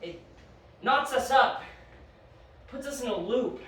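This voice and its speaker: An actress playing a determined, evasive time traveler in a science fiction audio drama, informal and insistent. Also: female voice, fairly high pitch, animated, slow, recorded from a distance. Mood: evasive, explaining. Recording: very echoey, off-mic, faint noise.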